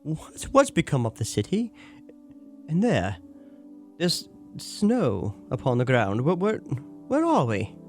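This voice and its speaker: An actor playing a nervous, querulous old miser in a radio drama, stammering, repeating himself and pleading. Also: male voice, a little high, huge pitch swings, slow, faint music behind, full character voice. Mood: frightened. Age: older.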